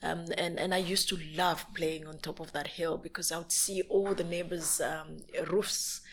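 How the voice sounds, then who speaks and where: A woman's voice: on the low side, flat delivery, normal speed. A woman in her forties from Zambia and the DR Congo, a native speaker of Swahili, Kinyarwanda and French, telling her life story conversationally as an interview guest. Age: 40s. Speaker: podcast guest.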